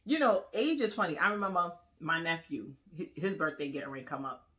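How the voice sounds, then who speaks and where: A woman's voice: sits low, quick pace, a bit bright. A woman in her forties, co-hosting a podcast in casual chat, rambling through stories and laughing often, recorded in a small living room. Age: 40s. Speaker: podcast co-host.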